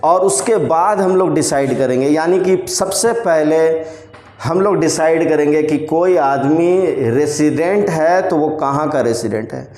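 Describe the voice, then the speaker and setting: Indian man, commerce teacher, high voice, patient repetitive explaining, roomy echo. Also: a little mellow. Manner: explains slowly, repeats points, frequent "theek hai" check-ins. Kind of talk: classroom lecture on tax rules. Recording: roomy echoey room sound.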